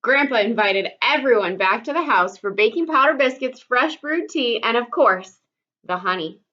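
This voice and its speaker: A woman's voice: on the high side, lively intonation, moderate pace, sharp tone. A woman reading a children's story aloud expressively, like a teacher, in slightly dull audio.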